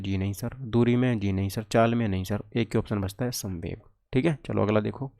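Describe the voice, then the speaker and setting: A man's voice: medium pitch, gravelly, warm tone. A man teaching an exam lesson conversationally, often asking questions and answering them himself. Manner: conversational, asks and answers his own questions. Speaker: physics teacher, exam coach.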